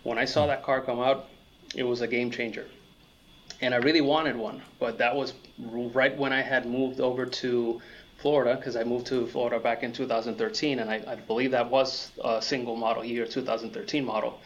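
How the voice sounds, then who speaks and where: A man's voice: medium pitch, flat delivery, a little fast, slight background noise. A man from Puerto Rico telling personal stories in a casual, conversational way as an interview guest and car enthusiast. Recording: dull lo-fi audio.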